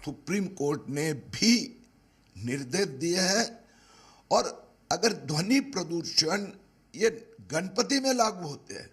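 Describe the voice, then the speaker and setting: A male politician giving remarks in halting, broken phrases. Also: high-pitched, expressive, slightly rough.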